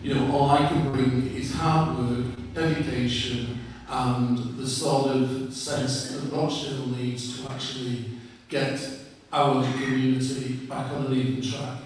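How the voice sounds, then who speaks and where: A man answering as a parliamentary candidate at a hustings, earnest and measured. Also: mid-range voice, level tone. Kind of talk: hustings answer to a public meeting. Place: public hall meeting. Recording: echoey hall, far from mic, background noise.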